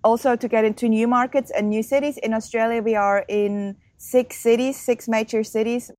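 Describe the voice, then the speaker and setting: A woman's voice: a little high, fairly even intonation, moderate pace, a little mellow, dry recording. Upbeat Swiss-born woman guest. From Switzerland. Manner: upbeat, explanatory, laughs easily. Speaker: guest, marketing business co-founder. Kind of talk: podcast interview.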